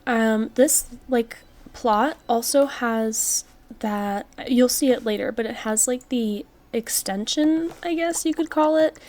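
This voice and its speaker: A young woman with a stuffy, congested voice from a cold, giving relaxed, chatty voice-over commentary with many fillers. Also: fairly high pitch, slow. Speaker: game build commentator.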